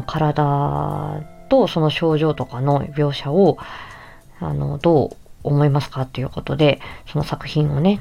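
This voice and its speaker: A woman's voice: low-pitched, creaky, warm tone, lo-fi sound. A woman voice actor talking casually on her own, presenting her work in a polite, conversational and reflective manner. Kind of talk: casual solo announcement talk.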